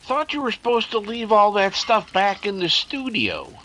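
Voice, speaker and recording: A man's voice: high-pitched, very animated, moderate pace, sharp tone, slight background noise, exaggerated voice. A man playing a slangy, jumpy detective's sidekick who blusters and hesitates in a 1930s radio drama. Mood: uneasy. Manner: colloquial, hesitant, stammering bluster. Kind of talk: 1930s radio comic-relief acting.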